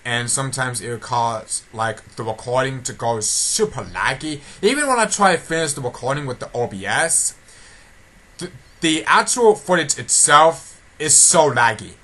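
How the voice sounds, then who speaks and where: A man's voice: mid-range voice, lively intonation, normal speed, bright, forceful tone. A man giving a casual, rambling update for his video channel, often repeating himself and restarting sentences. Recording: noticeably noisy amateur home recording.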